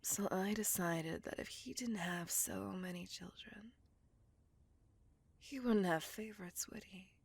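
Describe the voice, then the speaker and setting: Breathy, whispering seductive vampire woman, acted by a woman. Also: medium pitch, no room sound. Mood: cold, gloating. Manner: slow, intimate, deliberate, savouring words. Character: seductive, possessive female vampire, vain and coldly vengeful. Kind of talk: whispered ASMR roleplay.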